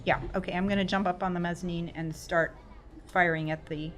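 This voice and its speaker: A woman joins a tabletop game as a player, calling out her moves in casual talk. Her voice is a bit low for a woman. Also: fairly even intonation, a little fast, slight background noise.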